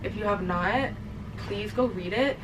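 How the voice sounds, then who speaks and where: A young woman with a stuffed-up, teary voice rambles to camera, full of "like" and "literally." She sits back from the mic, and a clear hum of room noise runs underneath. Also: medium pitch, measured pace, distant mic. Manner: emotional, rambling, swears, says "like" and "literally" often. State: congested, teary. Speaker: reading vlogger. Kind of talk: casual vlog chat to camera.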